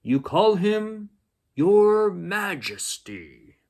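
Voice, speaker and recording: A man voicing a helpful storybook museum director who explains things with drawn-out emphasis. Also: sits high, sing-song, a little mellow, pitched above the performer's own voice, exaggerated voice. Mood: helpful, emphatic.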